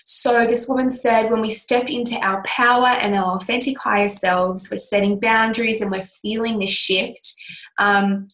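A woman's voice: mid-range voice, a bit bright, roomy sound. A woman with a cold, her voice scratchy and nasal, giving earnest, reflective relationship advice to listeners. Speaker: coach answering listener questions. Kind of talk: casual advice talk.

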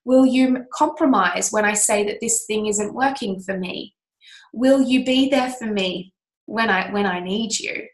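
A woman's voice: mid-range voice, unhurried, roomy sound. A woman with a cold, her voice scratchy and nasal, giving earnest, reflective relationship advice to listeners. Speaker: coach answering listener questions. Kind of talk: casual advice talk.

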